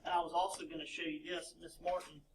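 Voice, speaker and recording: A man's voice: fairly high pitch, little pitch movement, quick pace, a little hiss or hum. A man on a math team explaining a problem to his coach, hesitant with lots of fillers. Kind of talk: casual explanation. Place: classroom. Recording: echoey classroom from far off.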